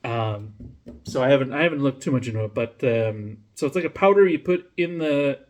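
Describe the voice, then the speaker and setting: A man chats his way through a beer review, his voice sitting at an ordinary middle pitch. He rambles, restarts his sentences, drops a swear now and then, and makes wry jokes at his own expense. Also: fairly quick, a little mellow. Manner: rambling, self-mocking, profane, many fillers and restarts. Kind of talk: casual rambling review vlog. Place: home room, lives in Denmark.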